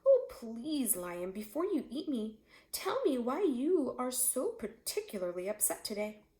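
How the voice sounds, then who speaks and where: Woman as an earnest storybook boy, lifted pitch. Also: big pitch swings, a little slow, dark tone. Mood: pleading. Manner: earnest, polite. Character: polite, quick-thinking little boy, pleading and helpful. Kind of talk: storybook character voice. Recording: echoey room.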